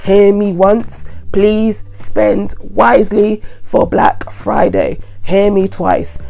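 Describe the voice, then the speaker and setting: Croaky woman with a cold. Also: mid-range voice, steady tone, slow, mellow. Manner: chatty, repetitive, earnest warnings. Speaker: vlogger. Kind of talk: casual advice monologue to camera.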